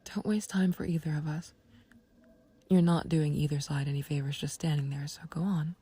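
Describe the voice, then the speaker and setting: Breathy, hushed villainess, acted by a woman. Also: low-pitched, steady tone. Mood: condescending, coaxing. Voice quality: breathy, hushed near-whisper. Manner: unhurried, condescending, mock-sympathetic taunting. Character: calm, taunting female villain, menacing yet fond of a friend. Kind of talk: scripted roleplay audio acting, intimate ASMR.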